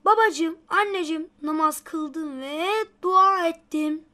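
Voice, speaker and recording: Eager, very high-pitched little cartoon boy. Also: takes it slowly, bright, forceful tone, heavily acted. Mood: earnest. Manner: earnest, bubbly, childlike. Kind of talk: children's cartoon dubbing.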